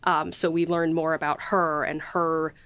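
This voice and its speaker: A woman's voice: deep voice, little pitch movement, fairly bright tone, smooth, no echo. Enthusiastic, rambling woman, dry close sound. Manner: gushing, halting, peppered with 'like'. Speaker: podcast host and series writer. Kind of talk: casual behind-the-scenes chat.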